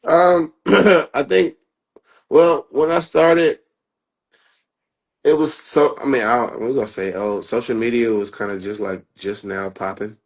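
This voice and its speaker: A man's voice: medium pitch, measured pace. A man who is a fighter, a guest in a casual interview, talking loosely with many "you know what I'm saying" fillers.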